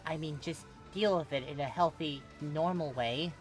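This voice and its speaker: An amateur reader voicing a worried young man who speaks gravely and with authority, wobbling slightly, in a fan fiction story. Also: mid-range voice, moderate pace, soft background music, close to an everyday voice. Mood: grave worry.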